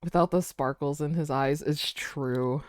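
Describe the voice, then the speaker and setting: A woman's voice: low-pitched, steady tone, unhurried, a little mellow, no room sound, studio quality. A woman in her twenties chatting casually while drawing on a stream, rambling, laughing often and saying "dude" and "like". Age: 20s.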